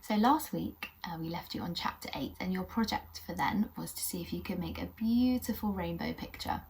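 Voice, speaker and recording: A woman's voice: lowish voice, moderate pace, darkish tone, whispered. A British woman presenting and narrating a children's story in a friendly, encouraging way. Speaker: children's puppet show host and storyteller.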